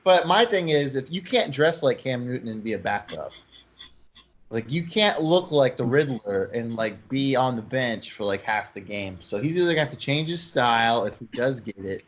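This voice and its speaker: Male sports talk host, mid-pitched and highly animated, emphatic and informal with strong opinions. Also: normal speed.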